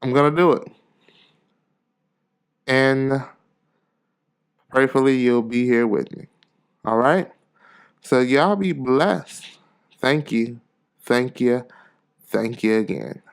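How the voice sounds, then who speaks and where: A man's voice: medium pitch, slow pace, gravelly, pauses often, smooth. A man hosting a podcast, a little congested from a cold, speaking in an earnest, casual, rambling motivational style and repeating phrases for emphasis. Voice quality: stuffy, congested nose. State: getting over a cold. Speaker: podcast host.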